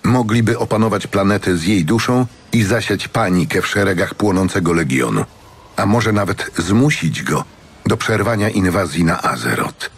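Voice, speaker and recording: A man narrating a dramatic fantasy audiobook from a script in a measured, solemn manner. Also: sits low, expressive, slightly rough.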